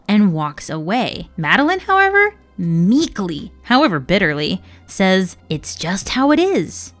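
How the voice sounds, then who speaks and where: A woman hosting a scripted video essay, speaking in an emphatic, opinionated and casually sweary way. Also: medium pitch.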